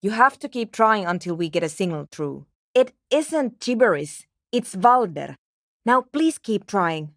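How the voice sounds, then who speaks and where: A woman's voice: medium pitch, big pitch swings, a little slow, fairly bright tone, no room sound, clean studio sound. A woman playing an earnest, exacting programmer and radio technician in a video game, speaking in precise, formal, clipped technical phrases. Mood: insistent. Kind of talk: video game character dialogue.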